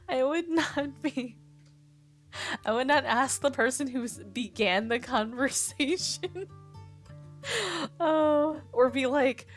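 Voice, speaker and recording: A young woman with a Midwestern American accent, chatting casually with her stream viewers, giggling and rambling as she reads chat aloud. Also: sits high. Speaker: Twitch streamer. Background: faint music.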